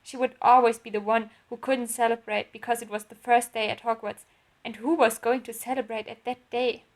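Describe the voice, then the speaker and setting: A woman's voice: on the high side, little pitch movement, fairly quick. A woman reading her own written story aloud as a narrator, in an audiobook style.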